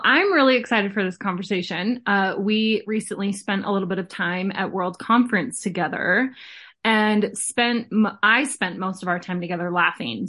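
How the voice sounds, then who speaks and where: A woman's voice: mid-range voice, a bit bright. A woman hosting a podcast, speaking in a conversational interview style. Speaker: podcast host.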